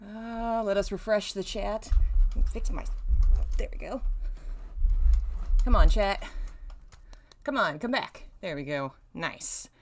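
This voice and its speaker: A woman's voice: mid-range voice, slow pace, long pauses. A woman hosting a casual livestream, talking in a rambling way and often interrupting herself. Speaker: livestream host. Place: indoors in a dim room.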